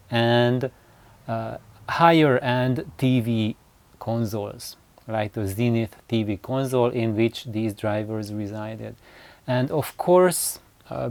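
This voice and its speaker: A speaker giving an informal, halting explainer as a DIY builder, rambling a little and correcting themselves along the way. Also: mid-range voice, darkish tone, no echo. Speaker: DIY loudspeaker designer presenting own build.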